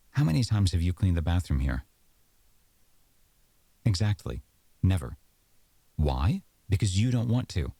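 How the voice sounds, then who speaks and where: A man's voice: low-pitched, dark tone, dry recording. A man playing an aggrieved, sarcastic boyfriend arguing at home in an audio roleplay, accusatory and exasperated. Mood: scornful, exasperated. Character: aggrieved, sarcastic boyfriend in a heated domestic argument.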